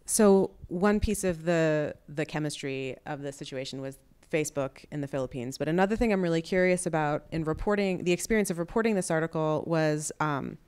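A woman's voice: low voice, brisk, hardly any pauses, a little mellow, smooth. A woman in her thirties moderating an on-stage interview, putting questions into a handheld microphone. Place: auditorium stage. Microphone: handheld microphone held at chest.

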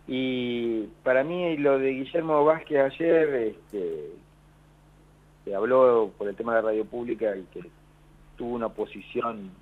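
A man's voice: medium pitch, unhurried. An Argentine man, a union leader calling in to a radio show, talking in a rambling way with many restarts. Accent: Argentine Spanish. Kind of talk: phone-in radio interview. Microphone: telephone. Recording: tinny phone line.

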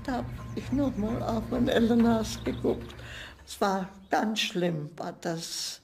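A woman's voice: fairly high pitch, takes it slowly, gravelly, darkish tone, slight background noise. An older woman giving a personal recollection as an eyewitness in an interview.

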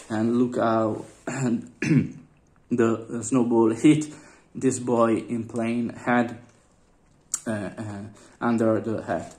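Hoarse man, sore throat, slow halting speech, echoey room with faint noise. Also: medium pitch, a little mellow. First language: Italian. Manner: halting, many fillers and throat clearing. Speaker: vlogger. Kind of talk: casual unboxing commentary. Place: indoors at a table.

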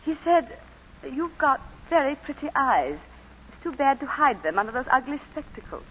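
Old radio drama: a clipped, refined planter's wife, bright and expressive, muffled broadcast sound. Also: female voice, high voice, moderate pace, slight background noise. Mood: measured. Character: composed planter's wife recounting a killing. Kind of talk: 1940s radio acting.

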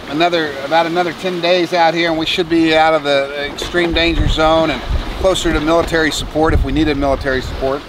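A man's voice: fairly high pitch, distant mic. A man in his fifties talks casually and matter-of-factly to camera on the deck of a sailboat at sea, with some restarts. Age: 50s. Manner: matter-of-fact, with restarts and ums. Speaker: sailing vlogger, father. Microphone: camera a few feet away. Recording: outdoor camera mic at sea, wind and waves.

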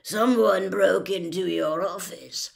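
A woman voicing a gruff, suspicious old male professor in a storybook reading, growling with slow, sardonic menace. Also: on the low side, heavily acted. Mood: probing, suspicious. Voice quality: growling, gravelly. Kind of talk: audiobook character voice.

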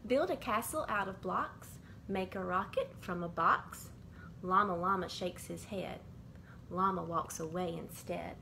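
Slow-reading woman, noisy home recording. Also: mid-range voice, gravelly, fairly bright tone. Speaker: school staff reading bedtime stories to children. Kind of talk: read-aloud of a rhyming children's picture book. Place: small indoor bedroom.

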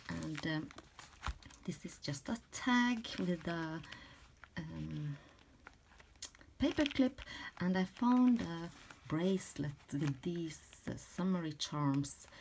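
A middle-aged woman from Norway with a Norwegian accent chats casually and haltingly as she presents her handmade craft work, searching for words in English as a second language. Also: on the low side, darkish tone, slight background noise, poor audio. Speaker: crafter presenting a journal flip-through. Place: indoors at a craft table.

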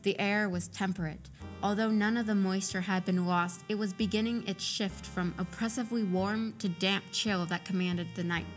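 Woman, story narrator, scripted reading, mid-range pitch, faint background music. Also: steady tone, moderate pace.

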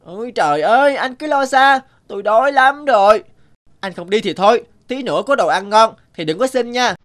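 A woman's voice: on the high side, animated, moderate pace, no echo, clean studio sound, close to an everyday voice. A woman voicing a greedy, overconfident mouse in a cartoon fable, brash and dismissive. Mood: impatient. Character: greedy, overconfident yellow mouse, impatient and scornful.

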